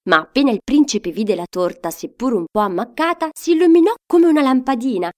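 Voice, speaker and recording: Animated woman fairy-tale storyteller. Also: fairly high pitch, a little fast. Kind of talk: expressive scripted fairy-tale narration for children.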